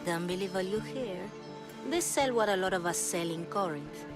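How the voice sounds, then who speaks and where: A woman's voice: fairly low pitch, animated, normal speed, music in the background, lightly acted. A woman playing a worldly, flirtatious streetwalker in a video game, sly and teasing. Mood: knowing, confiding.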